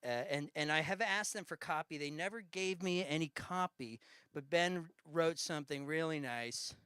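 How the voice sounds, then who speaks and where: A man hosting a comedic live talk show from a garage, rambling through deadpan jokes with plenty of fillers. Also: on the high side, moderate pace, smooth, no room sound. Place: home garage studio.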